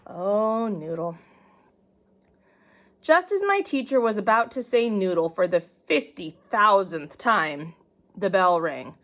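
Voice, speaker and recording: A woman reading a children's book aloud in a soft, expressive storytelling manner. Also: medium pitch, slow pace. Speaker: reader.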